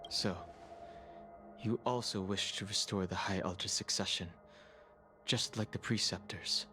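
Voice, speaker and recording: A guarded young man who speaks slowly and tersely in a lowish voice. Game music sits behind him. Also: fairly even intonation, bright, forceful tone. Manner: slow, reserved and terse.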